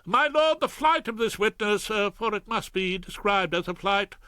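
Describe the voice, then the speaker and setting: Man doing nervous, stammering prosecutor, high and bright. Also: animated, moderate pace, no echo, clean studio sound. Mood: apologetic. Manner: hesitant, throat-clearing, stammering. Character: flustered apologetic male prosecutor, middle-aged.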